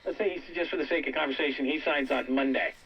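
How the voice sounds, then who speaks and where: A man's voice: fairly high pitch, fast, husky. A man talking sports on the radio, heard through the muffled speaker of a vintage set.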